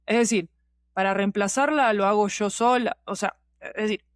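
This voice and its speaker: A woman's voice: medium pitch, normal speed, no room sound. A woman with a Rioplatense Spanish accent giving her opinions as a podcast guest in casual, slangy conversation. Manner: informal, slangy, with frequent conversational tags. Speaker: podcast guest, audio fiction producer.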